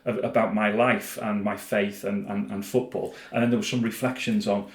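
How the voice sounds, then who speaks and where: A man's voice: lowish voice, little pitch movement, moderate pace, roomy sound. A man with a Liverpool accent, a podcast guest in casual chat, often repeating words as he starts a thought.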